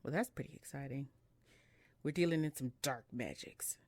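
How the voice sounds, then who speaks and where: A woman's voice: rumbling low, moderate pace, darkish tone, breathy edge, no echo. A woman doing a casual let's-play, reading on-screen game text aloud with chatty murmured asides, over game music. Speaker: video game streamer. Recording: music playing behind the voice.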